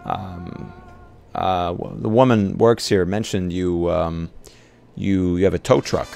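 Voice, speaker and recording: A man playing in a casual tabletop roleplay, speaking in a hesitant, halting way as he improvises. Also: low-pitched, steady tone, vocal fry, darkish tone, soft background music. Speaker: roleplay player.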